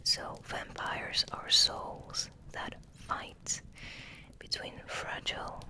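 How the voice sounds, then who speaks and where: A woman giving a soft whispered ASMR ramble in an unhurried, halting way, gently repeating words, close to the microphone. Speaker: host of an ASMR channel. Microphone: close microphone resting on the table.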